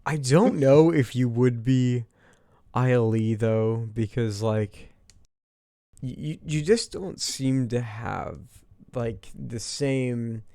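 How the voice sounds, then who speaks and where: A man's voice: medium pitch, slow, creaky, warm tone, dry recording, clean studio sound. A man hosting a casual typing session, tentative and hesitant as he thinks aloud. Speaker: host of a personality typing session. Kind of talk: casual chat.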